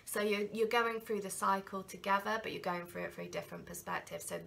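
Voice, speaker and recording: Woman in her thirties, chatty tarot reader with a head cold, sharp tone and gravelly edges, close camera mic. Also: mid-range voice, brisk, a little hiss or hum. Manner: chatty, animated hand gestures, slips into quoted inner voices. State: has a cold. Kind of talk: casual tarot reading to camera. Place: small room with candles and crystals, indoors. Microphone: camera in front at desk distance.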